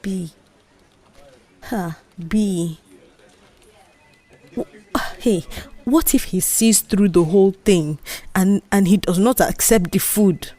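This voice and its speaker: A woman's voice: medium pitch, slow, long pauses, warm tone, faint music behind. A woman playing an anxious, easily alarmed young office worker with a Nigerian accent and a hesitant, faltering delivery, in an audio drama. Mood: worried, doubtful. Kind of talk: audio drama character dialogue.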